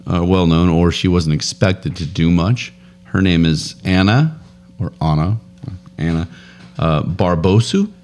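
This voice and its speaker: Podcast co-host, thirties, deep male voice, rambling storyteller, close studio mic. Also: a little slow, soft background music. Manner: rambling storyteller, laughs often, animated. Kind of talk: casual chat. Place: home studio room, indoors. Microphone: boom studio mic with headphones.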